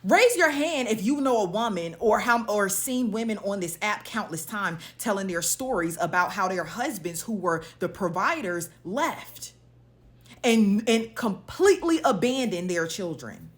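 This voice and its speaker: A woman's voice: medium pitch, very animated, a little slow. A woman giving an opinionated monologue to viewers, blunt and emphatic, posing pointed rhetorical questions as she goes. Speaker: social media commentator.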